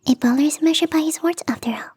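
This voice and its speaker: A woman voicing a young catgirl gardener in an ASMR roleplay, teasing, coy and playfully smug, with a breathy, whispery voice. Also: high-pitched, quick pace, darkish tone, no room sound, studio quality, natural voice. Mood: sly, mock threatening. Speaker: voice actress.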